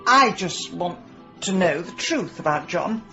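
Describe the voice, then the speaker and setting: A determined, impulsive woman sleuth in middle age, sharp-tongued and insistent. Her delivery swings animatedly through a radio drama, with music around it and a slightly dull recorded sound. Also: lowish voice, expressive, full character voice. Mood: accusing. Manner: brisk, insistent, argumentative.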